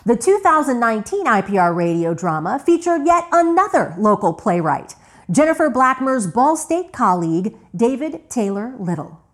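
A woman's voice: fairly high pitch, big pitch swings, normal speed. A woman hosting and narrating a radio documentary from a script. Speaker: host and narrator of a radio retrospective.

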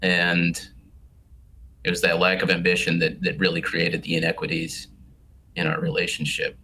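A man with a very deep but bright, forward voice speaks over a phone call. He answers reflectively and hesitantly, restarting his phrases as he thinks. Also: monotone, normal speed, slight background noise.

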